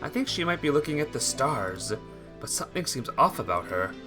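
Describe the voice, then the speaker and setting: A man's voice: mid-range voice, big pitch swings, brisk, over music. A man streaming a visual novel, switching between casual chat with viewers and reading the story aloud. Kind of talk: casual livestream chat mixed with expressive read-aloud narration.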